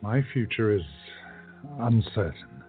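Time-travel radio hero played by a man: lowish, animated delivery, commanding and earnest, tinny sound. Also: takes it slowly. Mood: solemn. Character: Joe, brisk adventurous time-travelling hero. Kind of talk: amateur radio play acting. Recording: tinny phone-like sound, faint music.

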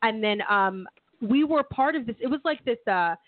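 A woman's voice: a little high, animated, normal speed. A young woman, a reporter on a radio show, chatting casually and telling a rambling story with lots of laughter. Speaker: reporter, studio guest. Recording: tinny phone-like audio.

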